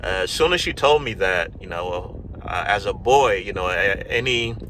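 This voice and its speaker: A middle-aged man from Ghana, telling his life story to camera in a relaxed, rambling way, full of fillers and restarts. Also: low-pitched, normal speed, a little hiss or hum, rough recording. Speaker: YouTube vlogger. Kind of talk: casual personal storytelling to camera.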